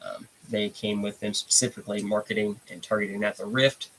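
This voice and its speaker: A man's voice: lowish voice, flat delivery, a little fast, fairly bright tone, slight background noise, poor audio. A congested man hosting a casual live stream, rambling in a laid-back way and greeting viewers from the chat. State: congested, stuffy nose. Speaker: livestream host.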